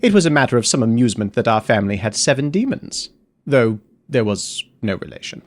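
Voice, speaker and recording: Expressive male fairy tale narrator. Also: mid-range voice, fairly quick. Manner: expressive, dramatic storytelling. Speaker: audiobook narrator.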